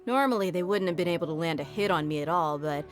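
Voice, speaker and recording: A woman's voice: on the low side, quick pace, soft background music, lightly acted. Woman playing a brash, swaggering mercenary bodyguard. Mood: dismissive. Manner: casual, swaggering. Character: tough, cocky female mercenary bodyguard, brash yet loyal. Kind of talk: anime game voice acting.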